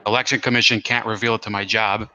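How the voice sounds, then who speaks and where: A male podcast host with a bright, clear voice and a flat, even delivery. He talks haltingly, with plenty of fillers and restarts. The sound is close and dry. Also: on the low side, no room sound, highs cut off. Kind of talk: casual interview.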